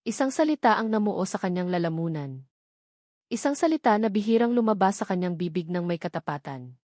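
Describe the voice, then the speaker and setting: A woman reading a scripted dramatic story in measured, deliberate short phrases. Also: medium pitch, lively intonation, a little mellow, no echo, clean studio sound. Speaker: audiobook narrator.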